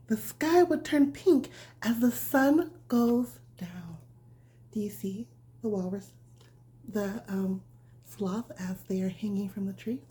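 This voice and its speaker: A woman with a slow, mellow delivery reads a bedtime story as if to a small child. Her voice sits a little high and is breathy, often slipping toward a whisper. She keeps her phrasing simple and nurturing. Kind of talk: reading a picture book aloud as a bedtime story, with asides to the listener.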